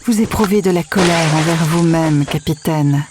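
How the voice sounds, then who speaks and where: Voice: medium pitch, moderate pace, lightly acted. An actor playing an ominous alien oracle in an audio drama, making solemn, stretched-out pronouncements. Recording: noisy bed with clicks and chirring.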